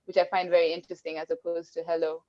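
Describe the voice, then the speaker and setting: A woman from Kenya hosting a casual online panel, reflective, with pauses as she thinks. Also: on the low side, flat delivery, highs cut off. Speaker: host.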